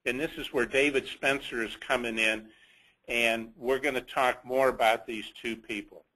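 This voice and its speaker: A man presenting business results on an investor call as a company executive, in a measured, halting way with pauses mid-sentence. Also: mid-range voice, fairly even intonation, bright, forceful tone, slightly rough, poor audio.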